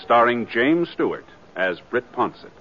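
A man's voice: mid-range voice, sing-song, measured pace. A man announcing a radio drama in dramatic 1950s style. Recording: muffled old radio recording. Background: music.

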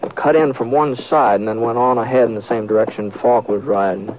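An actor playing an easygoing, modest western drifter with a hesitant, folksy drawl, in 1950s radio western style. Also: male voice, fairly low pitch, steady tone, brisk, lightly acted. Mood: calm narrating. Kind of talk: 1950s radio western acting and first-person narration. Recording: muffled, noisy, crackly old radio recording.

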